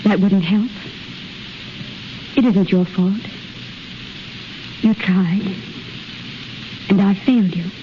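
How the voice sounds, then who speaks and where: A woman's voice: medium pitch, slow, pauses often, close to an everyday voice. An actress in a 1930s radio drama playing a fragile, self-sacrificing young woman, speaking in an earnest, plaintive, melodramatic way. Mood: resigned. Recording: dull, hissy 1930s radio recording.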